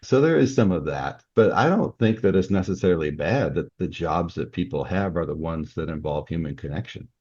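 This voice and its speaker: A man's voice: sits low, animated, brisk, few pauses, darkish tone, breathy edge, no echo, lo-fi sound. A man talking casually as a podcast guest, explaining his views earnestly with examples. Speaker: security company worker.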